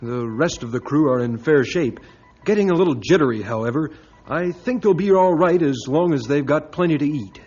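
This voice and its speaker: A man's voice: fairly high pitch, animated, normal speed, darkish tone, husky, faint music behind. A man playing a respectful, worried but hopeful ship's officer in an old-time radio drama. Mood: respectful, uneasy. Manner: respectful, earnest. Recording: dull old radio recording.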